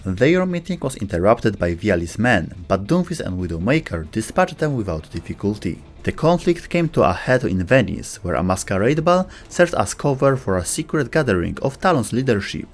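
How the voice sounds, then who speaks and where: Low, lively male lore narrator. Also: normal speed, hardly any pauses. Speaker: narrator of a game lore video. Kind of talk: scripted narration. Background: faint background music.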